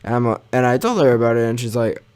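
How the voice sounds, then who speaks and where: A man's voice: medium pitch, expressive, fast, a little mellow. Young man telling a casual, self-mocking story. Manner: informal, mildly sweary, self-mocking. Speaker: gaming channel storyteller.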